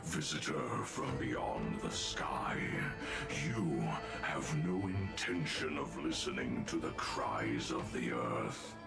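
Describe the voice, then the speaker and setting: An actor voicing an ancient, solemn earth demigod in a video game, speaking in a grave, grandiose manner. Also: male voice, very deep voice, lively intonation, slow pace, gravelly, exaggerated voice. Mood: solemn, reproachful. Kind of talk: dramatic video game voice acting. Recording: game music behind the voice.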